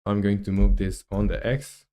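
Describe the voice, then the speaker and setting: A man's voice: fairly low pitch, little pitch movement, moderate pace, dark tone. Young man rambling through a game dev stream. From Chile. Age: 20s. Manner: rambling, many fillers and restarts, reads chat aloud. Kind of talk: casual livestream chat while working.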